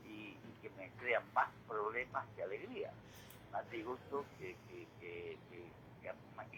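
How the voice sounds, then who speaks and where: An older man giving a reflective radio monologue, halting and repeating words, with rhetorical questions. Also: on the low side. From Spain. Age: 60s or older. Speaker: retired regular contributor giving commentary. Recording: clearly noisy radio feed.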